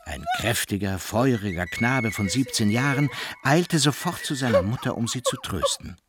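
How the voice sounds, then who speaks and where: An actor as a wry storyteller narrating a radio drama in a mock-formal manner. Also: male voice, medium pitch, expressive, moderate pace, natural voice. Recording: clearly noisy background.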